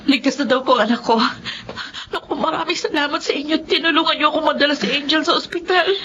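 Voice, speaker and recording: Casting: woman as poor devoted Filipino widow, high voice, deferential and emotional; lo-fi audio drama with some noise. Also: fast talker, close to an everyday voice. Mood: grateful relief. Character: poor, scarred widowed mother, pleading, humble and devoted. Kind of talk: Filipino radio-style melodrama acting. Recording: dull lo-fi audio with light noise.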